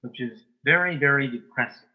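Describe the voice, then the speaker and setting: A man answering as an interview guest in a conversational way, with brief, deliberate replies. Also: medium pitch. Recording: dull, lo-fi sound.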